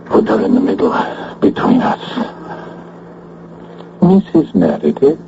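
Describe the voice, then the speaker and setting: An actor playing a sinister male fake-spiritualist doctor, smooth, coaxing and formally commanding, in a radio drama. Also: on the low side, huge pitch swings, a little slow, very noisy, exaggerated voice. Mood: cold, commanding. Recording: dull lo-fi old radio recording.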